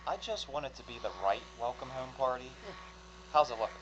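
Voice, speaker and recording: A man's voice: mid-range voice, lively intonation, brisk, muffled recording, noisy background, natural voice. A man playing a world-weary, folksy spaceship engineer in a science fiction audio drama, casual, grumbling and full of wisecracks. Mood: teasing.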